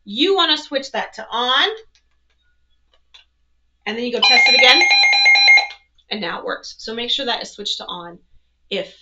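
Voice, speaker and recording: A woman's voice: on the high side, lively intonation, unhurried, long pauses, bright, forceful tone, highs cut off. A woman presenting an instructional product walkthrough, explaining things step by step. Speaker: presenter, weather educator.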